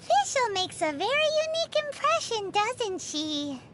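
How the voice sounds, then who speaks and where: A woman voicing a tiny, childlike fairy companion in a video game, squeaky, cheeky, excitable and easily offended. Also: unhurried, a little hiss or hum, exaggerated voice. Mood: curious, hesitant. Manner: refers to self in third person, exclaiming, bubbly. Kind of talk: English video game voice acting. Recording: music behind the voice. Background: music.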